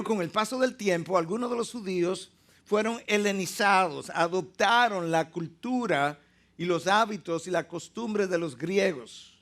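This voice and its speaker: A man preaching a sermon, teaching emphatically and slowing down to stress key words. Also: sits high, a bit bright.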